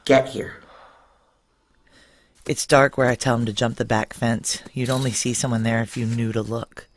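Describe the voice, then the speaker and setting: A woman's voice: rumbling low, fairly even intonation, moderate pace. A woman playing a tough, controlled security chief in a noir science fiction audio drama, speaking in a blunt, clipped, authoritative way. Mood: wry, tense. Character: tough, curt female security chief, hard-drinking and controlled.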